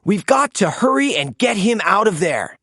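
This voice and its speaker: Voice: mid-range voice, big pitch swings, normal speed, bright, forceful tone, breathy edge, no echo, studio quality, pitched above the performer's own voice, full character voice. A narrator voicing a plucky, confident boy driver in a children's storybook. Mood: urgent, confident.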